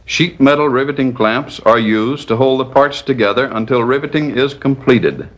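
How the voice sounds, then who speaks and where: Measured 1940s training film narrator, male. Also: medium pitch. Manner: measured, didactic, step-by-step.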